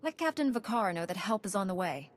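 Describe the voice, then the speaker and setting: A woman's voice: medium pitch, very animated, fairly quick, lightly acted. An actress playing a principled female knight in a video game, composed and direct. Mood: composed, firm.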